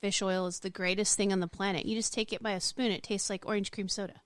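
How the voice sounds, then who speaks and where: A woman's voice: medium pitch, little pitch movement, fast talker, clean tone, no room sound, clean studio sound. A woman co-hosting a podcast in casual conversation, playful and full of questions. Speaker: podcast co-host. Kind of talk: casual chat.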